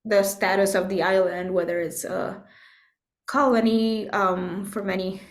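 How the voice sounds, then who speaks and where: A woman from Puerto Rico talks in a reflective interview, with a mid-range voice. She thinks as she goes, pausing and searching for the right phrase. The room around her adds a clear echo. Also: medium pitch, steady tone. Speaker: interview guest, composer and musician. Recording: echoey room sound.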